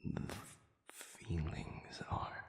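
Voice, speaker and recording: A man playing an anguished demon who has lost his memory, in an intimate audio roleplay, speaking in halting, gravelly half-whispers with formal wording. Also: reverberant. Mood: anguished, conflicted. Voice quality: gravelly, breathy.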